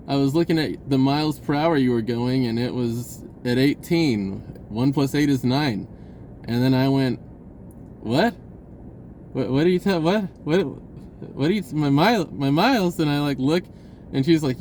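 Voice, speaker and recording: A man telling a personal story in a casual, rambling vlog style, stammering, blurting and re-enacting conversations excitably. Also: on the high side, slight background noise. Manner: blurting, self-interrupting, stammering over phrases.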